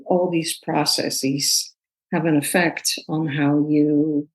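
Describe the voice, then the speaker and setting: Woman in her sixties from the Netherlands, very low voice, thoughtful, measured interview guest. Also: flat delivery, low-quality recording. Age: 60s. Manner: thoughtful, measured, laughs easily. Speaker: guest, personal trainer. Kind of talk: casual interview talk.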